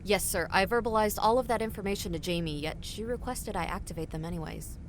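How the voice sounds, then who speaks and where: A woman's voice: medium pitch, quick pace, slight background noise, close to an everyday voice. An actress playing a polite, guarded young woman dispatcher under questioning. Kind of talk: audio drama acting.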